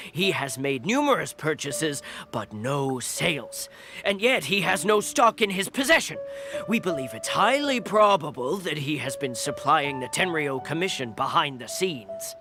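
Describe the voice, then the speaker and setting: An actor voicing a stern, zealous guard in a video game, interrogating in a formal, forceful way. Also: male voice, on the high side, sing-song, normal speed, over music. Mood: earnest, formal. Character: stern, zealous male island guard, accusatory.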